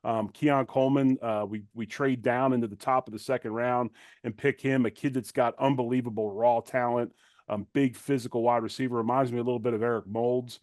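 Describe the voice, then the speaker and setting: A middle-aged man speaks as a guest on a sports show, in an informal and plain-spoken way. His voice sits at an ordinary male pitch, and the sound is dry with very little room in it. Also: fairly even intonation, brisk, a little mellow, no room sound. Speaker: guest, former football player turned sports commentator. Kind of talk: conversational sports analysis.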